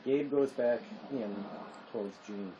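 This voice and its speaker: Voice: medium pitch, measured pace, highs cut off. A narrator reading a drama's stage directions in scripted narration. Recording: clear background noise, room ambience.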